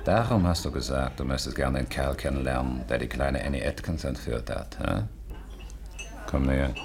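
An actor playing a cocky, pushy small-time gangster in a radio play, gruff and bossy. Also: male voice, extremely low pitch, normal speed, a crowd in the background. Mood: coaxing, boastful. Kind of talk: 1950s German radio play acting.

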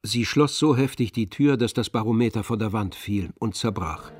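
A man reading scripted literary narration for a radio play in a measured storytelling manner. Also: lowish voice, a little mellow, faint music behind.

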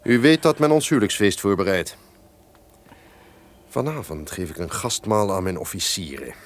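An actor playing an imperious, menacing duke in an old-fashioned radio drama, speaking formally, theatrically and with command. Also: male voice, on the low side, animated, moderate pace, lightly acted. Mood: coldly coercive.

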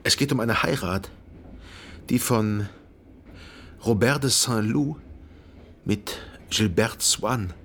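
A man playing a reflective son who narrates his memories in a radio drama, with a husky voice and measured, contemplative delivery. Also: lowish voice, fairly bright tone, slight background noise. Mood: amazed. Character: reflective literary narrator, a grown son remembering.

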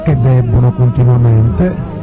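Actor as a slow, scornful small-town gossip. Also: male voice, medium pitch, fairly even intonation, close to an everyday voice. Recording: dull sound under loud music.

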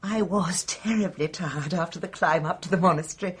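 An actress playing a timid, gushing English spinster, deferential and fluttery, in a radio drama. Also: female voice, fairly low pitch, fairly quick, slightly rough. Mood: fluttery eagerness.

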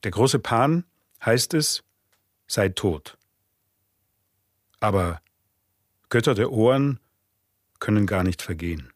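A man with a fairly low voice narrates a radio drama. He recites dense literary prose in a solemn, measured manner, as scripted reading. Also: lively intonation, no echo, studio quality.

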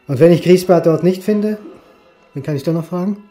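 An actor playing a weary, reserved historian turned reluctant detective, terse and dry, in a naturalistic radio play. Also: male voice, sits high, a little fast, mellow, soft background music, close to an everyday voice. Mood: probing.

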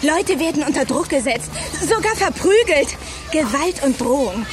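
A woman acting a stubborn, outspoken teenage schoolgirl in a German radio play, challenging and sharp in her retorts. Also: sits high, expressive, moderate pace, music in the background. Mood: indignant.

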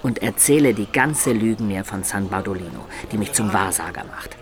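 Roguish, reflective storyteller. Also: male voice, fairly low pitch, expressive, normal speed. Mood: animated. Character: roguish storyteller of peasant birth recalling his life. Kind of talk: radio drama narration.

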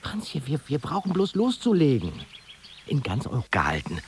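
An actor playing an enthusiastic, persuasive young student with a casual, slangy way of talking in a radio drama. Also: male voice, on the high side, wildly swooping pitch, moderate pace, a little hiss or hum. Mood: eager, persuasive. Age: young adult. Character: enthusiastic scheming young student friend turned would-be manager. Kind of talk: naturalistic German radio drama dialogue.

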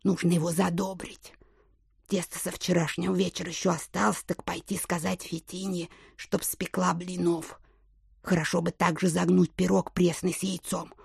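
An actress playing a superstitious, stubborn old widow landowner in an audio drama, with a folksy, hesitant, fretful manner. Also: female voice, fairly low pitch, level tone, normal speed, vocal fry, breathy edge, no room sound, studio quality, heavily acted. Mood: scheming, mild. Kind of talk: audio drama acting of classic literature.

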